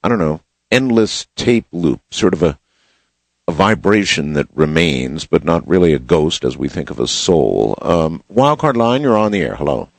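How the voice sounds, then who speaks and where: A man hosting a late-night radio call-in show, talking in a measured, musing way. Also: sits low, big pitch swings, vocal fry, dry recording.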